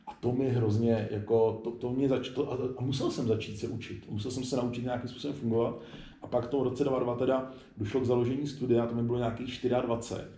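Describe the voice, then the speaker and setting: Mellow, halting man in a roomy space. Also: medium pitch, fairly even intonation. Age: 40s. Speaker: interviewed illustrator and studio founder. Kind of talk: casual reflective interview talk. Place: indoor spray-paint shop, at a table. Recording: roomy sound with faint background noise.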